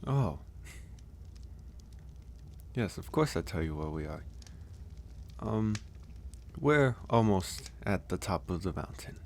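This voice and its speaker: A gentle, solitary werewolf acted by a man speaks in a deep, soft voice for an ASMR audio roleplay. He takes his time, with slow, hesitant phrasing, sighs and pauses. A little noise sits behind the voice. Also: lively intonation, creaky, exaggerated voice. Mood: gentle, attentive. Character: gentle solitary male werewolf caring for an injured stranger.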